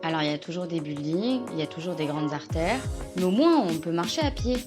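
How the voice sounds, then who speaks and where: A woman's voice: low-pitched, expressive, a little fast. A woman narrating a travel show in a casual, personal voice-over. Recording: voice-over with music bed.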